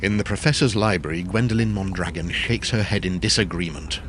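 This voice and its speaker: A man's voice: low voice, fairly quick, fairly bright tone. A man narrating a period farce as a wry, descriptive storyteller with a scripted delivery. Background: prominent music.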